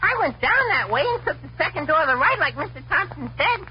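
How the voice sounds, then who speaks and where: A man's voice: very high voice, very animated, fast talker. A man playing an earnest teenage boy in a 1940s radio comedy. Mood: deadpan. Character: earnest, slow-witted or excitable teenage boy. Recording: muffled, noisy 1940s radio broadcast.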